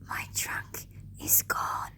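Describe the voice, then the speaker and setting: A woman voicing a gentle, giving old female apple tree in a storybook, weary and sighing, slow and nearly whispering. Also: exaggerated voice. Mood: sad, weary. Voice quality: breathy, near-whispered.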